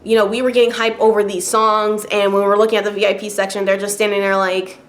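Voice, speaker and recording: A woman's voice: mid-range voice, little pitch movement, quick pace. A woman vlogging a casual rant to camera, talking loosely with many fillers and little laughs, and growing exasperated as she goes on. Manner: chatty, frequent fillers and laughs, rising exasperation.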